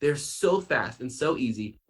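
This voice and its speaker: A man playing an excitable, wonder-filled science doctor for children, gushing and emphatic. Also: medium pitch, unhurried, husky. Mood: eager, promotional. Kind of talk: kids' science show character.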